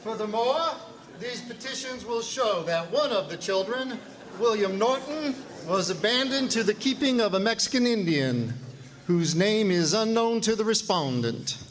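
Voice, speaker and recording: Actor as high-voiced, formal old-time lawyer. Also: male voice, lively intonation, slight background noise. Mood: stern, formal. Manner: formal, measured, oratorical. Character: stern, formal 1900s lawyer reading a petition. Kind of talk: radio drama courtroom speech.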